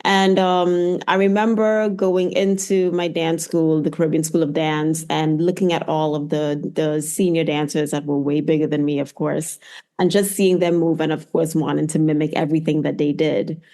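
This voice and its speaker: A woman's voice: low-pitched, little pitch movement, moderate pace, mellow. A middle-aged woman from Trinidad and Tobago, speaking reflectively as a guest in an interview. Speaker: podcast guest, physical therapist.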